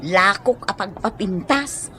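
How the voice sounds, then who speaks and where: An actress playing a gossipy, flamboyant market vendor in an Ilocano comedy radio drama, exclaiming and teasing with an Ilocano accent. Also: female voice, medium pitch, expressive, slow, sharp tone, soft background music. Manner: exclamatory, teasing, drawling for effect.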